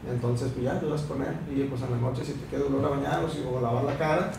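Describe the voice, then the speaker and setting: Gravelly young Sinaloan man, echoey room. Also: medium pitch, fairly even intonation, quick pace. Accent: Sinaloa Mexican. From Mexico. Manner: explains at length with many fillers. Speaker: barber guest. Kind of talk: casual podcast chat. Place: small room with a mirror, indoors. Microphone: far camera. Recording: echoey room, mic far off, background hiss.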